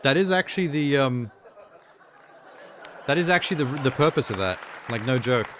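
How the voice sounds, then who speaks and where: A man's voice: mid-range voice, expressive, normal speed, a bit bright, some chatter behind, slight background noise. A man from South Africa giving casual, off-the-cuff reactions as a live streamer, with wry, mocking asides.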